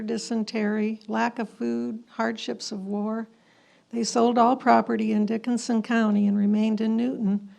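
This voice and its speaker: A woman's voice: a little high, vocal fry, a little mellow. A woman reading scripted historical narration aloud as a narrator.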